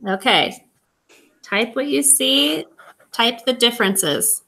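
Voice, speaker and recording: A woman's voice: fairly high pitch, expressive, slow pace, bright, forceful tone, low-quality recording. A woman running a science demonstration for students, giving short, simple instructions. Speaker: guest demonstrator.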